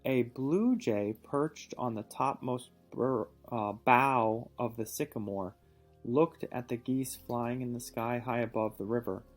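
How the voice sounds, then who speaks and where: Male read-aloud teacher, mid-pitched, patient and encouraging, faint music underneath, stops to question the listener. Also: measured pace. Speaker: reading teacher or parent reading aloud.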